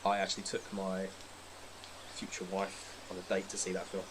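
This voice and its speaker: A man's voice: deep voice, completely flat delivery, normal speed. A man talking casually about films as a guest on a podcast. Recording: noticeable background noise.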